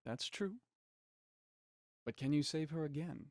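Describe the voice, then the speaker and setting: An actor playing a smug, menacing villain in an audio drama, mocking, theatrical and condescending in manner. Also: male voice, fairly high pitch, a little slow, no echo, clean studio sound. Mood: cold, resigned. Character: smug, menacing male villain, cold culprit and puppet-master writer.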